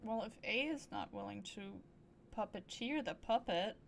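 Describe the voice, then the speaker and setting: A woman's voice: mid-range voice, animated, moderate pace, gravelly, heavy background noise. A woman streaming a video game with casual, playful, exclamatory reactions and offhand swearing.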